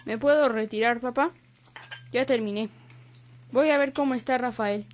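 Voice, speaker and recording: A woman's voice: on the high side, moderate pace, a little hiss or hum, lightly acted. A woman voicing a sly, smug young boy in a radio drama. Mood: slyly polite. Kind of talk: Spanish-language radio drama acting.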